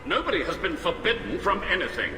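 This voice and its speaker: A man's voice: high-pitched, lively intonation, brisk, pushed and bright, exaggerated voice. A man playing a cold, needling alien admiral and accuser in a video game cutscene, with a cutting manner. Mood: cold, accusing. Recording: echoey hall, music underneath.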